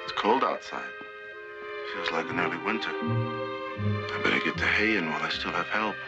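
An actor playing a grumbling, wary middle-aged farm employer with folksy speech in a television period drama. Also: male voice, low voice, normal speed, loud music behind the voice. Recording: dull old TV soundtrack.

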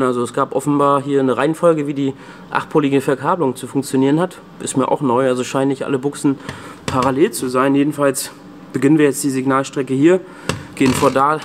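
Casual man vlogging over background hum. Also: mid-range voice. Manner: informal, off-the-cuff, colloquial. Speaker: video host and PA sound technician. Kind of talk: casual handheld vlog commentary. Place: indoor venue rooms and cellar. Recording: background hum and room noise.

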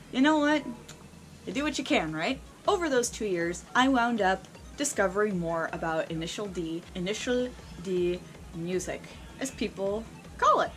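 A young woman vlog host telling a personal story casually and unscripted, with theatrical emphasis and dramatic pauses. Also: on the high side, rough recording. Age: young adult. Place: small indoor room lined with shelves.

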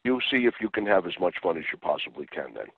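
Male phone attendant, slightly deep, heard down a tinny telephone line. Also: sing-song, fast, creaky, slightly rough, no room sound. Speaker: treatment center phone attendant. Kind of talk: answering a phone call. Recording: dull narrow telephone line.

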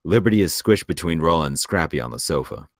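A man's voice: extremely low pitch, lively intonation, fast talker, dry recording, clean studio sound. A man reading screenplay stage directions as narrator at a table read.

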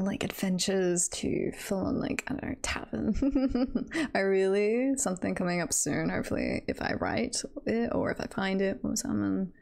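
A woman with noticeable vocal fry and a mid-range pitch chats casually to her live stream viewers. She is playful and giggly in manner. Her thoughts ramble and often trail off. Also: a little mellow. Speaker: streamer and voice roleplayer chatting with viewers.

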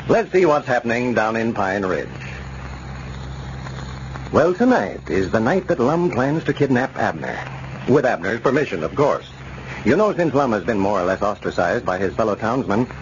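An American man announcing in a scripted 1940s radio style. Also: medium pitch. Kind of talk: 1940s radio narration and sponsor read. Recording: muffled, hissy old radio recording.

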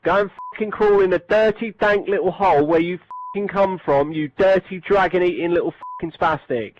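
A man's voice: sits high, brisk, dull audio, slight background noise. A man ranting angrily at someone online, swearing and hurling insults. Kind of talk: profane angry rant.

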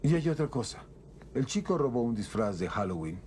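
Dude voicing a lawman on a manhunt, questions everything, pitch jumps around, faint hiss. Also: big pitch swings, brisk, darkish tone, lightly acted. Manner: probing, questioning. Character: male lawman tracking a fugitive. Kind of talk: film dub acting.

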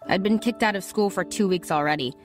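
A woman's voice: medium pitch, fast, soft background music, natural voice. A woman voicing a wayward teenage girl who narrates and talks to an old man, hesitant and apologetic in scenes, in an audio drama.

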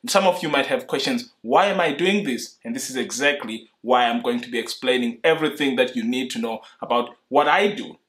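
A young man from Zimbabwe, explaining his investing approach to camera in an earnest, measured way, seated indoors. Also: medium pitch, a bit bright. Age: young adult. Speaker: investing YouTuber. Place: indoor room in front of a leather sofa.

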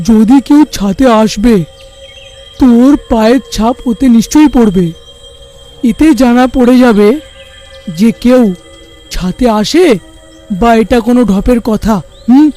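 A man's voice: very high-pitched, warm tone. A man voicing an earnest, grave villager who urges and advises in a cartoon. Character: earnest male villager advising a haunted neighbour. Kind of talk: cartoon voice acting.

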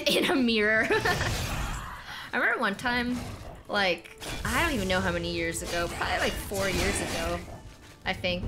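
A young woman with a very high-pitched, almost childlike voice rambles through a story while she plays. She swears casually and laughs at herself. Game music plays clearly underneath her. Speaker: streamer. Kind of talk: casual gaming stream chat.

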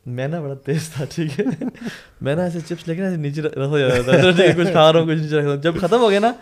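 A man in his thirties, an Islamic speaker hosting a casual podcast chat, telling stories playfully and gesturing as he talks, on a studio boom mic. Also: fairly quick. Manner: playful, animated storyteller with hand gestures. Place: indoor studio set. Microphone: studio mic on boom arm.

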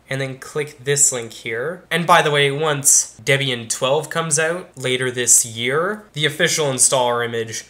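A young man with a casual, explanatory manner walks viewers step by step through a software install in a tutorial. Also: mid-range voice. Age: young adult. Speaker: tech YouTuber. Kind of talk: screen-recorded tutorial walkthrough. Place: small room indoors.

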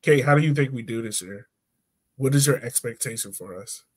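A man's voice: mid-range voice, brisk. An American man in his thirties hosting a sports podcast with casual banter over a video call. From Richmond, Virginia, United States. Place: room at home.

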